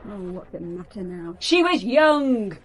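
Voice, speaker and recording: A woman's voice: medium pitch, very animated, unhurried, slightly rough. An actress playing a defensive, grudging shop woman in a radio crime drama, grumbling in colloquial speech. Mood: irritated.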